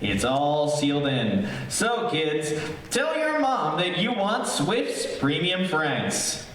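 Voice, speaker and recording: A man playing an old-time radio announcer, reading scripted introductions and sponsor pitches. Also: big pitch swings, takes it slowly, fairly bright tone, heavily acted. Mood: earnest, selling. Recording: echoey distant recording, music behind.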